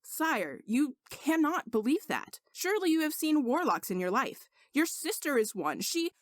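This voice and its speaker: A woman's voice: high voice, big pitch swings, normal speed, no echo, clean studio sound, pitched above the performer's own voice. Woman reading as an arrogant, earnest male sorcerer knight. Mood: earnest, pleading. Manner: formal, lecturing.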